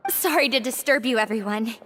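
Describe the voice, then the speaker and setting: A woman's voice: fairly high pitch, a little fast, a bit bright. An actress playing a polite, devoted young female prisoner in a video game, speaking deferentially. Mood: apologetic. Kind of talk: video game story voice acting.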